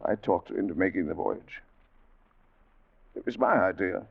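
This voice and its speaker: An actor playing an earnest, lovestruck ship's officer, emphatic and emotional, in an old-time radio drama. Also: male voice, deep voice, normal speed, vocal fry, husky, full character voice. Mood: grief-stricken. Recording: muffled vintage radio recording.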